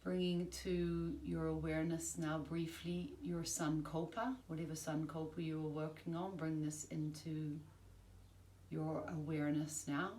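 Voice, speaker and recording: A woman teaching yoga nidra, giving calm, soothing, measured guided-relaxation instructions with long pauses. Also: deep voice. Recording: roomy, distant mic, faint background noise.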